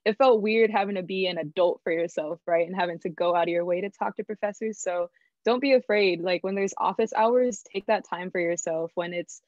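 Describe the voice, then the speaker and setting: A young woman from Oregon, a recent graduate and orientation leader, talking in a chatty, animated way. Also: on the low side, fairly quick, no room sound, muffled recording. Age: young adult. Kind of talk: casual panel advice.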